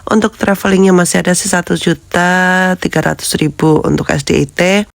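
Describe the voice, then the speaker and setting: A woman vlogger with a hoarse, congested voice from a cold, chatting with viewers as friends and steadily reading out figures. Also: on the low side, moderate pace, no room sound. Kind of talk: casual budgeting vlog talk.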